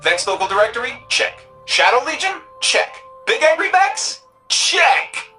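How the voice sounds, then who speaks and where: An actor playing an excitable, cheeky young tech-whiz sidekick in a video game, with a slangy, playful manner. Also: sits high, unhurried, music in the background. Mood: fired up. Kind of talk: video game character dialogue.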